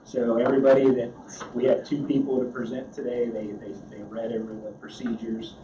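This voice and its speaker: A man chairs a school board meeting, moving briskly to the next item with stumbling repeats. He sounds distant, in an echoey room. The recording is dull, with clear background hiss. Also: medium pitch, flat delivery. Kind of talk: running a meeting.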